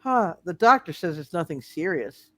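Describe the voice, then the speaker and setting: A woman playing a concerned, patient wife and mother, gently reassuring, in a live radio play reading. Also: on the low side, sing-song, moderate pace, dry recording.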